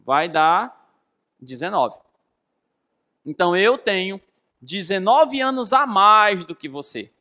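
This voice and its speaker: High-voiced Brazilian man teaching. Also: expressive, slow, pauses often, pushed and bright. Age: 30s. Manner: didactic, emphatic, repeats key phrases and questions his listeners. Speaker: physics teacher. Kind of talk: explanatory lesson with rhetorical questions.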